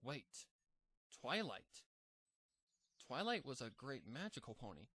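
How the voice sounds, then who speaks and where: A man narrating a dark fan fiction story in a measured, somber reading. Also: medium pitch, sing-song, breathy edge, no room sound. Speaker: fanfic narrator.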